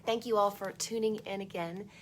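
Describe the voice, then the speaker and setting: A woman hosts a children's storytime in a medium-pitched voice. She reads expressively and adds chatty asides aimed at young listeners. Ambient noise is clearly audible behind her. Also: steady tone, moderate pace. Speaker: storytime host reading a picture book aloud.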